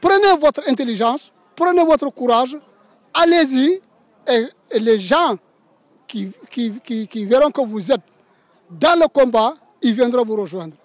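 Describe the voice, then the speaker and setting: A man's voice: extremely high pitch, expressive, unhurried, no room sound. A man giving an emphatic, exhorting documentary testimony. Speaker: interviewee.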